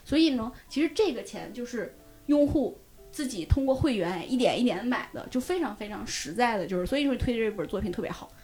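Female podcast guest, higher-pitched voice, chatty and explanatory, casual conversation over background music. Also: expressive, a little fast, a little mellow, husky. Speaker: guest, web-fiction editor. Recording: music behind the voice.

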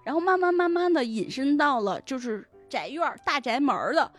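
A woman's voice: high-pitched, lively intonation, a little fast, soft background music. A woman chatting as a podcast guest, explaining step by step in colloquial language and often checking in with "right?". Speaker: guest web-novel editor on a podcast. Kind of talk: casual explanatory podcast chat.

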